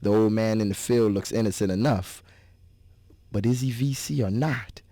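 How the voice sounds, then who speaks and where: A man giving a dramatic reading as a disillusioned young soldier, in halting, terse phrases. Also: on the low side, mellow, dry recording, close to an everyday voice. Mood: weary, uneasy. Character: disillusioned young American soldier, haunted and bitter. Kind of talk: dramatic reading of war poetry.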